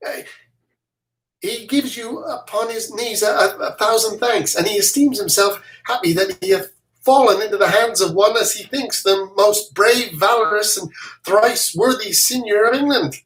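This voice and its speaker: A single reader voicing a clever young serving boy, pitched higher and speaking with wry quickness. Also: a bit bright, close to an everyday voice. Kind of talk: reading a play aloud. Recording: roomy echo.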